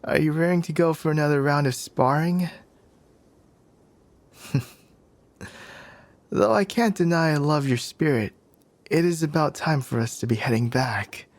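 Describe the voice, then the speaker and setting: A man's voice: a little high, unhurried, pauses often, dry recording. A man playing a cocky, teasing young warrior in an ASMR roleplay, smug and playfully possessive toward the listener. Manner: teasing, smug, breathy sighs and chuckles.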